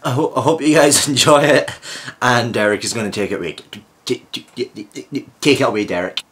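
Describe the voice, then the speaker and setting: A man's voice: mid-range voice, fairly quick, a bit bright. Rambling casual male channel host. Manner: rambling, joking, stumbling. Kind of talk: casual video intro.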